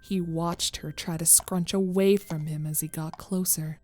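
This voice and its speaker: A woman's voice: on the low side, steady tone, a little slow, darkish tone. A woman narrating a scripted horror story as its storyteller.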